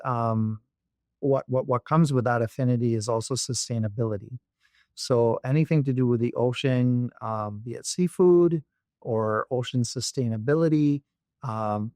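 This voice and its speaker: A man's voice: medium pitch, gravelly, darkish tone, no echo, clean studio sound. A man from Barbados, a company executive, speaking as an interview guest in a thoughtful way with many fillers and restarts. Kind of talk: interview conversation.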